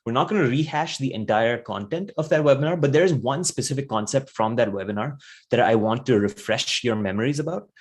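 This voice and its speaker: A man's voice: medium pitch, fairly quick. A young man hosting a live marketing webinar, chatty and rambling, full of fillers and restarts, speaking into a computer mic. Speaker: webinar host, content marketer.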